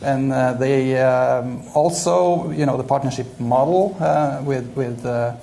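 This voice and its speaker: A man's voice: mid-range voice, takes it slowly, creaky, warm tone, a little hiss or hum. A man answering on a conference panel, filling his talk with "you know" and "uh". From Norway. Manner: many fillers, "you know" often. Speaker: head of innovation, panelist.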